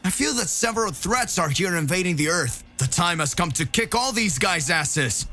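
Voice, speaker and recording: A man's voice: high voice, expressive, normal speed, bright, forceful tone, soft background music. A man doing a confident young fighter. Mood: bold. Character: confident young male fighter, boyish and bold.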